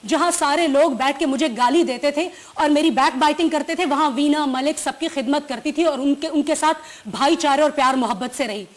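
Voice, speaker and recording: A woman in her twenties with a Pakistani Urdu accent defends herself in a heated television debate. Her voice is high. She talks back with indignant force and slips English words into her speech. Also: moderate pace, hardly any pauses. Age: 20s. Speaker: actress guest.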